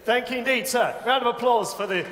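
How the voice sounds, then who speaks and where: A man's voice: extremely high pitch, a little fast, crowd noise, noisy background. An English man hosting an awards gala with a joking, self-mocking, chatty manner. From England. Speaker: awards host. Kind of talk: comic stage hosting. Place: large banquet hall with audience. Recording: long hall reverb.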